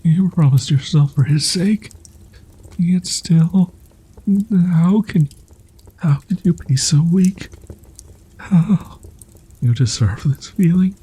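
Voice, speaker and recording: A man playing a husky, halting young wolf-boy. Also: on the high side, dark tone, heavily acted, poor audio. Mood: anguished, defiant. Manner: halting, stammering, archaic formal phrasing. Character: proud, wounded, tsundere young male wolf-boy with ears and tail. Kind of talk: roleplay audio drama, ASMR.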